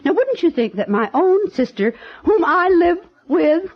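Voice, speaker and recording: An actress playing a fussy, easily offended older aunt in a 1950s radio drama, fretful and emphatic. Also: female voice, very high voice, very animated, a little slow, exaggerated voice. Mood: aggrieved. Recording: dull vintage 1950s radio recording.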